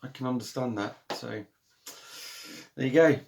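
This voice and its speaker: Male, British accent, relaxed unboxing host. Calm, soothing delivery with a gravelly texture, chatty and rambling, with plenty of ums and restarts. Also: mid-range voice, moderate pace. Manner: rambling and chatty, with many ums and self-corrections. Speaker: vinyl collector and channel host. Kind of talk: casual unboxing chat.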